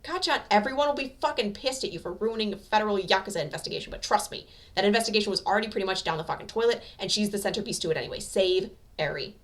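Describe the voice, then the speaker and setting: A woman voicing a brash, impatient young male anime hero in a fan fiction reading, with a gruff, bossy, profane way of talking. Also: mid-range voice, expressive, rapid-fire, few pauses, lightly acted. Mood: urgent, bossy.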